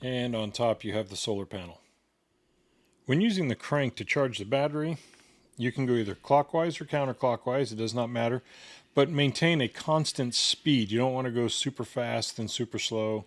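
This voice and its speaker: A man's voice: medium pitch, moderate pace, no echo. A man giving a casual hands-on product tutorial in a plain, step-by-step instructional way. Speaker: product reviewer demonstrating a gadget.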